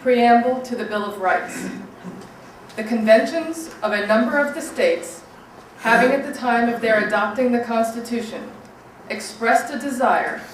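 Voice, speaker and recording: A woman's voice: a little high, lively intonation, unhurried. A woman reading a formal historical document aloud at a public event. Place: large reverberant room at a public event. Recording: very echoey hall, distant mic, faint noise.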